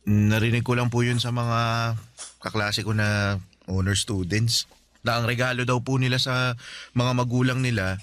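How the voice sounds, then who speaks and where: A man's voice: medium pitch, steady tone, normal speed, pauses often, a bit bright, a little hiss or hum, close to an everyday voice. A man acting as a respectful, earnest teenage son in a radio drama. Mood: bashful, sincere. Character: humble, dutiful teenage son fresh out of high school. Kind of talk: Tagalog radio drama dialogue. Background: background music.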